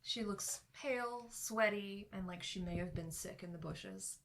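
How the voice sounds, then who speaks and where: A woman's voice: medium pitch, a little slow, rough recording. A young woman improvising third-person narration as a player in a tabletop roleplaying game.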